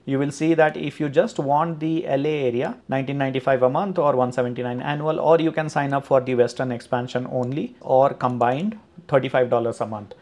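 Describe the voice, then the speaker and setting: A man's voice: medium pitch, steady tone, fairly quick, darkish tone. A man presenting a screen-recorded walkthrough of a flight simulator service, explaining steadily with occasional fillers. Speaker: flight sim tutorial presenter.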